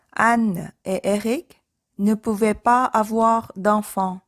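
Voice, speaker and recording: Woman reading language drills, carefully enunciated. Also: mid-range voice. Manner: measured, careful enunciation with repeated phrases. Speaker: language teacher. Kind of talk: scripted language drill, sentences read out and repeated.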